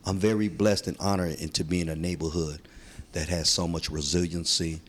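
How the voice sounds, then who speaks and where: A man from San Francisco telling his life story as an interview guest, in a heartfelt, slangy way with many pauses and ums. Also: sits low, fairly even intonation, a little hiss or hum. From San Francisco, USA. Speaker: guest, community activist. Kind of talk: relaxed storytelling conversation.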